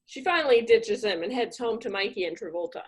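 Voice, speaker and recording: A woman's voice: fairly high pitch, animated, a little fast. A woman comedian narrating a comedic radio play.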